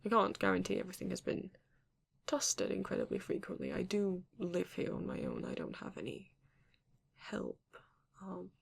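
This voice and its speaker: A woman playing a courteous, bashful vampire in an intimate ASMR roleplay, speaking haltingly and formally with a gravelly, creaky voice. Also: fairly low pitch, huge pitch swings, heavily acted. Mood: hospitable, bashful. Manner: hesitant, halting, formal yet endearing. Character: courteous, bashful vampire living alone in a castle.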